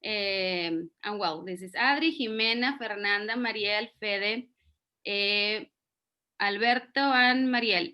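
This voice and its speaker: A woman's voice: medium pitch, slow, muffled recording. A middle-aged woman, a native Spanish speaker, informally hosting an online class interview as the teacher. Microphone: video call.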